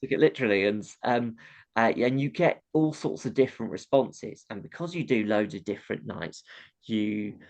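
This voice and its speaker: A man's voice: lowish voice, lively intonation, moderate pace, vocal fry, a little mellow. A man with a nasal voice, a comedian chatting casually as an interview guest, laughing often and acting out how audiences react.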